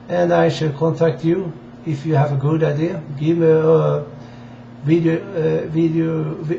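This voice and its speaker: A man from Sweden with a Swedish accent gives an informal political address in halting, deliberate non-native English. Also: a little high, vocal fry, a little mellow. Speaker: amateur political campaigner addressing viewers. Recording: dull, echoey room with faint hiss.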